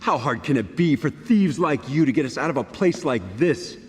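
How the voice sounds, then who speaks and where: A man's voice: a little high, expressive, moderate pace, husky. An actor playing a pompous, impatient man of God in a game cutscene. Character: pompous priest on a mission, easily moved. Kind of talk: video game cutscene acting.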